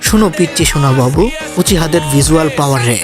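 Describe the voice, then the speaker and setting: Guy doing old anime villain, highish voice thru voice changer, boastful n teasing, music playing. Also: fast talker. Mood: boastful, mocking. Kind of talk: comic amateur anime parody dub.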